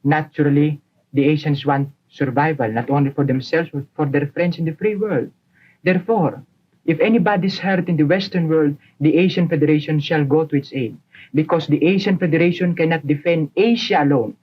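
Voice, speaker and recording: A man's voice: on the high side, a little fast. A teenage boy from the Philippines, a student debater arguing assertively on a 1950s broadcast. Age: teens. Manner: assertive, argumentative. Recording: muffled 1950s broadcast recording.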